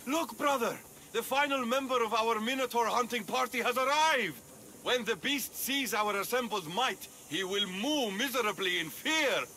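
Boastful game warrior, high bright voice, boisterous, faint ambience. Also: male voice, normal speed, slight background noise, exaggerated voice. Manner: boisterous bragging. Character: boastful older brother warrior.

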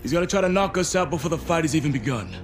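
A man's voice: fairly high pitch, very fast, soft background music, natural voice. Commanding military officer played by a man. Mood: terse, grave. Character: commanding middle-aged male American military officer, terse.

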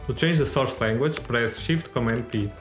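A man's voice: medium pitch, normal speed, over music. Man with Portuguese as his first language, echoey. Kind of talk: scripted product tip.

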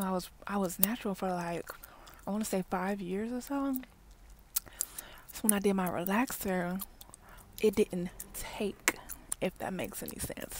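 A woman, chatting casually in a parked car for an ASMR video, often dropping to soft whispers, recorded on a wired earbud mic. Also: mid-range voice. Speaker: ASMR vlogger. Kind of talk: ASMR gum-chewing car chat. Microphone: wired earbud mic held near mouth. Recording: faint background noise, mouth clicks.

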